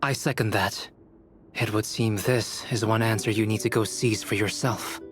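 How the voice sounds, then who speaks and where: A solemn male creator speaks with measured, formal encouragement in a video game, as a man voices him. His voice rings with long, heavy reverb. Loud music swells underneath. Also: lowish voice, fairly even intonation, close to an everyday voice.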